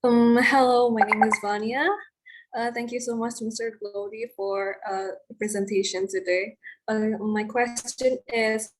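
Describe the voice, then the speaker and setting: A woman participant politely asking a question in an online session, slow and a little hesitant. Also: a little high, steady tone, noisy background. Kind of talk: asking a question on a video call.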